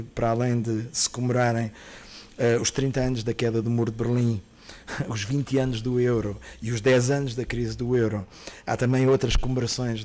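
A middle-aged man speaks at a podium outdoors in a park. His voice sits at an ordinary pitch, and he talks in an animated way. He stops and restarts often, with plenty of fillers. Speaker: festival programmer. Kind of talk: outdoor press presentation. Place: outdoor park, under trees. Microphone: podium microphone.

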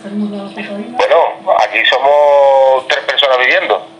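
A man's voice: on the high side, expressive, slow, a crowd in the background. A man answering an unexpected phone call in conversation, puzzled, repeating himself and asking questions. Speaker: prank call recipient.

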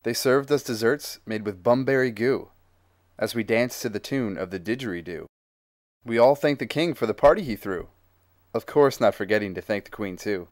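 A man's voice: medium pitch, moderate pace, no echo, clean studio sound, close to an everyday voice. A man voicing a grand, boastful male sea explorer who tells of his voyage in rhyming verse. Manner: rhyming, grand storytelling delivery.

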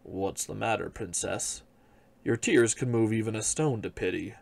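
A man voicing a frog in a fairy tale read aloud, dropping his voice low and drawing out his words. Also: moderate pace, gravelly, no echo. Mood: coaxing. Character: slow, coaxing male frog from a well.

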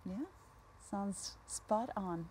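An animated woman in her thirties presenting casually as host of a TV talk show. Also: medium pitch, very slow, a little hiss or hum. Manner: bubbly, animated. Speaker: TV host running a quick-fire quiz. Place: TV studio with sofas.

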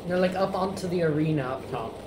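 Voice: medium pitch, fast talker. Someone chatting casually and jokingly while co-hosting a video game playthrough. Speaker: gaming video co-host playing the game. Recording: echoey room with noticeable background noise.

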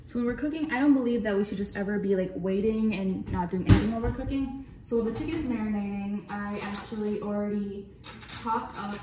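A woman's voice: mid-range voice, fast, noisy background. A young adult woman casually narrating her day for a vlog, chatty and full of fillers. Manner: chatty, filler-heavy, talks to the viewer. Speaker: vlogger.

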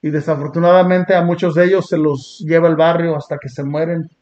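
A man from Long Beach, California, hosting a podcast and talking in an earnest, reflective way with blunt street slang. He sits on a small dark indoor studio set. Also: on the high side, little pitch movement, fairly quick. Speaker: podcast host. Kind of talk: casual reaction chat. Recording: muffled lo-fi sound.

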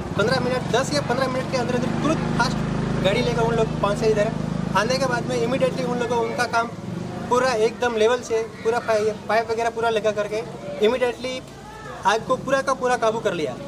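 A young man speaks into a reporter's handheld mic on a busy street at night. His voice sits high and he circles back over his points. Loud traffic and street noise press in around him. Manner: rambling, repetitive explanation. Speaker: shop owner's brother. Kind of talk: on-the-spot interview. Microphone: handheld news mic.

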